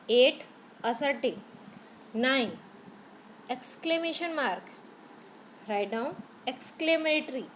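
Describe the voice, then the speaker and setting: A woman teacher with an Indian English accent, slowly spelling out grammar words letter by letter for young pupils. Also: fairly high pitch, slight background noise, low-quality recording. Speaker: school teacher.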